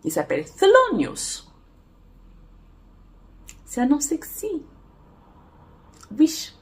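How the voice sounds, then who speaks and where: Slow, halting woman author chatting. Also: high-pitched, huge pitch swings. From Angola. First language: Portuguese. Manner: halting, many fillers and restarts. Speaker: romance author and publisher. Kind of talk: casual vlog chat.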